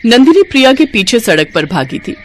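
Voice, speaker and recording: A woman's voice: on the high side, huge pitch swings, brisk, slight background noise. A woman reading a scripted moral story for children as narrator.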